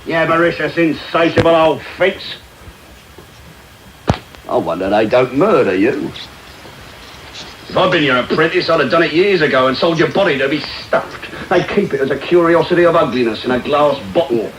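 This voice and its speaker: Male actor as a rough, bullying thug, very animated. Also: a little high, normal speed, natural voice. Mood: angry, sneering. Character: gruff, brutal, insulting male housebreaker. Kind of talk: radio drama acting. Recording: noisy medium-wave radio tape.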